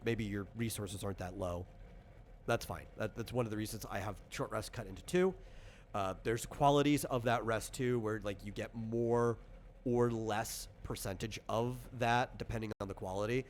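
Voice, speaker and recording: A man with a lowish voice talks as a game master and designer. He explains rules informally and reaches for hypothetical examples. Some noise sits behind his voice.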